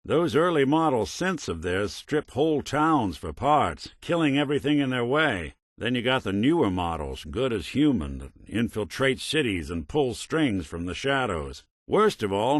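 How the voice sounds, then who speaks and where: A man's voice: medium pitch, no room sound, studio quality. A man playing a world-weary android private detective in a video game, tough but kind, with wry, clipped hardboiled phrasing. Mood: grim, emphatic. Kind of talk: noir detective game dialogue.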